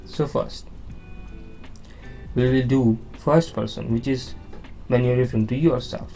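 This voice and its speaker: A man at a mid-range pitch teaches beginners at a very slow, deliberate pace. He repeats key words, checks in with "okay?", and walks through each slide patiently. Faint music plays underneath. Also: steady tone, vocal fry, dark tone. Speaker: language teacher. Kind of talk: slow beginner lesson explaining on-screen slides.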